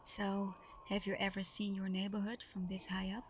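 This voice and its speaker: A woman playing Santa's gentle, shy adopted daughter in an intimate ASMR roleplay, soft-spoken, tender and a little hesitant. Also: mid-range voice, monotone, normal speed, lightly acted. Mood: warm, reminiscing. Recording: clearly noisy background ambience.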